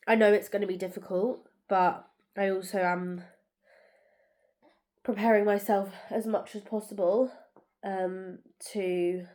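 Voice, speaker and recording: A young woman with a cold, talking softly and tiredly at home in a rambling casual vlog, nasal and bunged up. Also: medium pitch. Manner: soft, tired, rambling with many fillers. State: congested, sore throat. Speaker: vlogger.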